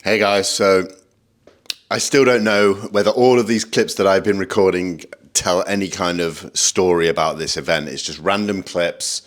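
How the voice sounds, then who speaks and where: A man narrating a casual vlog to camera, his voice croaky and hoarse from days of talking, in a laid-back, informal way. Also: low-pitched, steady tone, normal speed. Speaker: vlogger and convention guest speaker. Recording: clear background noise.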